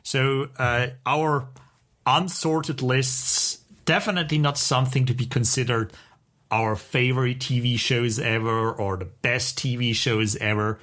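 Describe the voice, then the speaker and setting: Male podcast co-host, medium pitch, conversational and keen. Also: fairly even intonation, unhurried, fairly bright tone. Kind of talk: casual podcast talk.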